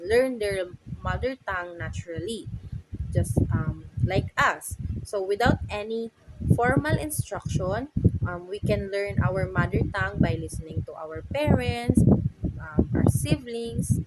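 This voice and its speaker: A young Filipino woman from the Philippines, a native Tagalog speaker, giving a class presentation with frequent "so" and "um" and a halting delivery. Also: mid-range voice. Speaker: student presenter. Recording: loud background noise.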